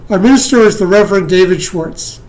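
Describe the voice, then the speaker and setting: A man, serving as worship associate, reading the welcome and announcements slowly. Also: sits high, darkish tone, rough recording.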